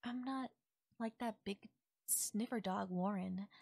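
Woman acting as a breathy, possessive yandere fairy. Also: medium pitch, slow pace, a little mellow, no room sound, clean studio sound. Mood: sweet, coaxing. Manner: sweet, breathy, lilting, sometimes dropping to a whisper. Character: possessive, doting yandere female fairy captor. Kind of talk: intimate ASMR audio roleplay.

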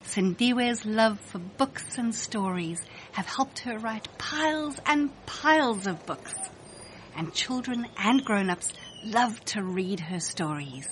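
A woman reading a children's storybook aloud as a scripted narration, with deliberate, expressive phrasing. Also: medium pitch, slight background noise.

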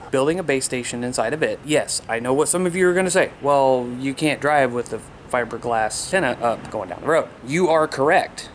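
A man in his thirties talking casually and informally, explaining things in a rambling way as a hobbyist vlogger. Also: mid-range voice. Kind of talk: casual vlog chat. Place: outdoors in a yard, later a small room indoors. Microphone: camera at arm's length. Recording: noisy camera audio with background hum.

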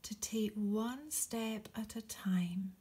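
A woman speaks as a meditation guide at a very slow pace. Her voice is soft and breathy, often slipping close to a whisper. The delivery is gentle and soothing, with long pauses between short, encouraging phrases. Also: mid-range voice.

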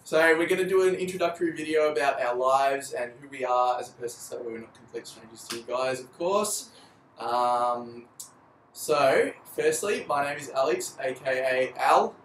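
A man's voice: mid-range voice, moderate pace, fairly bright tone. Young Australian guy, roomy and distant. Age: young adult. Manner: rambling, jokey, laughs often, many fillers. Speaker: vlogger. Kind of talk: casual couple vlog intro. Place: apartment by big windows, indoors. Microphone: camera mic from a few feet away. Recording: roomy, distant, slight noise.

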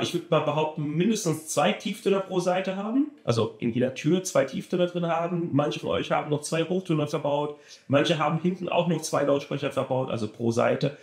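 A man gives a casual product review of car audio gear, eager and emphatic, doubling words to drive a point home. His voice sits fairly high for a man. The sound carries a noticeable room echo. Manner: enthusiastic, emphatic, repeats words for stress. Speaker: car audio shop presenter.

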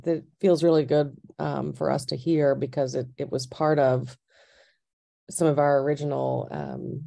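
A woman's voice: low voice, fairly even intonation, unhurried, creaky, mellow. A woman, a furniture designer as an interview guest, speaking thoughtfully and searching for words. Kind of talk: casual interview conversation.

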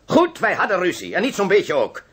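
An actor playing a defiant murder suspect in a radio play, emphatic and indignantly protesting. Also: male voice, high-pitched, wildly swooping pitch, brisk, a bit bright, slightly rough, full character voice.

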